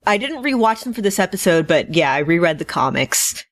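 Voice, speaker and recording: A woman's voice: on the low side, very animated, fairly quick, no echo, studio quality. A woman co-hosting a podcast in casual conversation. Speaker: podcast co-host. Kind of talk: casual chat.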